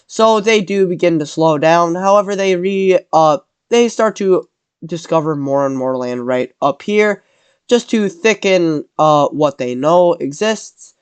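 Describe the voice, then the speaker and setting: A man's voice: fairly high pitch, unhurried, clear, resonant tone, dry recording. A man with a cold narrating a map scenario in casual commentary, rambling and correcting himself as he goes. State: has a cold.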